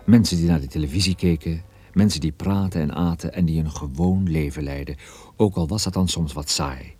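A man acting a brooding private detective who narrates a radio drama in a sombre, measured, husky voice. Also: a bass voice, warm tone. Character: brooding, world-weary male private detective narrating his story. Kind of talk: first-person scripted radio drama narration.

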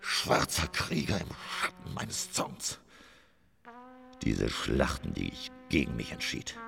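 An actor playing a weary, grief-stricken old stage actor in a poetic radio drama, slow, brooding and breathy. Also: male voice, wildly swooping pitch, a bit bright, soft background music, heavily acted. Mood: dark anguish. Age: older. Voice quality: gravelly, breathy low voice. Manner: slow, theatrical, brooding delivery.

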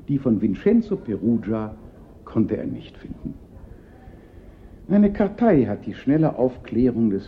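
Wry former prefect, radio play. Swooping delivery, narrow tinny sound with background hiss. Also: male voice, lowish voice. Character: urbane, wry male former police prefect. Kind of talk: German radio play acting. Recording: thin phone-like band, noisy radio-play recording.

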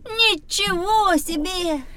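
Excitable, high-pitched cheeky schoolboy. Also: female voice, slow pace, dry recording, heavily acted. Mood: amazed, excited. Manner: exclamatory, cheeky. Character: curious, excitable, sceptical young schoolboy adventurer. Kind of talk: children's educational audio drama acting.

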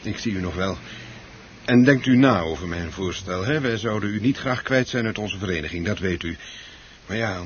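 A man's voice: on the low side, moderate pace, natural voice. An actor playing an officious club chairman in a radio play, formally polite, hesitant and evasive, hemming his way through apologies. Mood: apologetic. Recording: dull, slightly noisy old radio recording.

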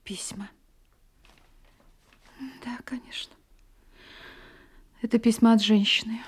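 A woman's voice: a little high, little pitch movement, takes it slowly, a little hiss or hum. A woman acting an anxious, guilt-ridden young wife in a radio play, often talking to herself in agitated whispers. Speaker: heroine and narrator of a radio play.

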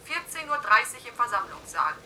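Radio play: insistent ministry announcer, formal, animated, sharp voice, off-mic. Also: female voice, on the high side, moderate pace, a little hiss or hum, full character voice. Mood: urgent. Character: urgent female ministry announcer. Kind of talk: German radio play acting. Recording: distant from the microphone.

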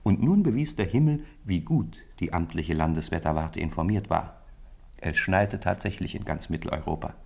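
A man's voice: low voice, expressive, normal speed, gravelly, a little mellow, slightly rough. A man giving scripted literary narration as the storyteller of a radio play.

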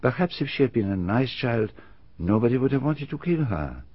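An actor playing a fastidious male detective, husky voice, polite and precise, in a radio drama. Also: mid-range voice, sing-song. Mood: probing. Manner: polite, precise, gently insistent questioning. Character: fastidious middle-aged male Belgian detective, courteous and probing. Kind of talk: BBC radio drama acting. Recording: dull archival radio recording.

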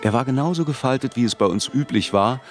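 An actor playing a reflective narrator who recalls his youth in measured literary first-person narration. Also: medium pitch, lively intonation, faint music behind, lightly acted.

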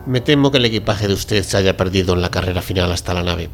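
A man's voice: low voice, fast talker, music in the background. A man playing a courteous, fussy, deferential ship's doctor in a radio drama, speaking formally and politely. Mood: polite, apologetic. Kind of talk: amateur radio drama reading.

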